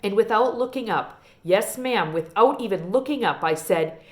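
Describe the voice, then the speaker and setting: A woman's voice: mid-range voice, expressive, normal speed, lightly acted. A woman voicing a genteel, wistful old small-town librarian lady from a storybook, telling tales in a folksy, emphatic way. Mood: suspenseful.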